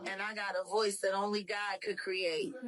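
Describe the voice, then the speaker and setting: A woman's voice: medium pitch, little pitch movement, normal speed, over a noisy crowd, low-quality recording. A woman who says she has a husband and children, giving sassy, mocking commentary with lots of emphasis. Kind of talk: animated commentary.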